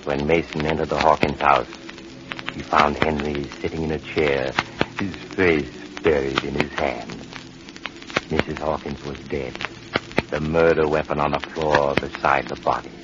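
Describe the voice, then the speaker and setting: Grave, very deep-voiced storyteller. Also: male voice. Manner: measured, ominous. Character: grave doctor storyteller of macabre tales. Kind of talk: radio mystery narration. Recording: muffled, hissy old radio recording.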